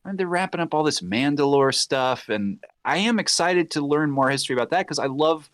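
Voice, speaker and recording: A man's voice: a little high, animated, a little fast, fairly bright tone, no room sound, clean studio sound. A man bantering casually on a podcast panel, repeating himself for emphasis and acting out imagined quotes as he goes.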